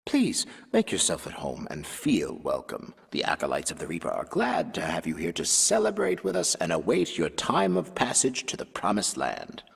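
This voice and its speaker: Game role: gracious, theatrical death-cult gentleman, low gravelly voice, wildly varied intonation. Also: huge pitch swings, moderate pace, hardly any pauses, no room sound, clean studio sound, full character voice. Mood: welcoming. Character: solemn, courtly older male death-cult recruiter in top hat. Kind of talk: video game character acting. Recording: dramatic music behind.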